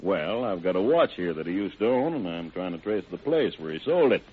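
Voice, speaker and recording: An actor playing a steady, persistent sheriff's investigator who questions people methodically in an old radio crime drama. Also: male voice, low-pitched, fairly quick, breathy edge. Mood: businesslike, courteous. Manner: methodical questioning, plain-spoken. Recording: muffled, narrow-band old radio broadcast.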